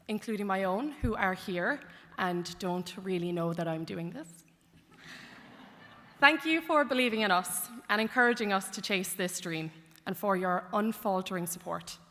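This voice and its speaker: A young woman with a bright, clear voice delivers a heartfelt graduation address, mixing sincerity with light humour. Her words carry through a lectern microphone, trailing a long reverb across a large concert hall. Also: medium pitch, normal speed. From Ireland. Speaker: graduate speaker. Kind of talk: commencement speech.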